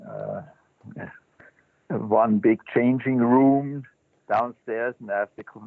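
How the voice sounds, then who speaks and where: An older man, a Zen teacher telling his life story as an interview guest, halting and thoughtful with many restarts. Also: on the low side, gravelly, no echo. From Switzerland. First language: German. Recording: dry, tinny phone-line sound.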